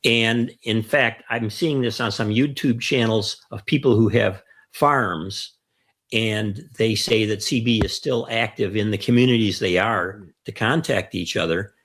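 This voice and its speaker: A mature man with a slightly low voice talks in an explanatory, conversational way as a show co-host. He lays out his points in order and stresses important terms by saying them again. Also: unhurried, a bit bright. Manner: emphatic, repeats key phrases. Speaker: co-host of a ham radio show.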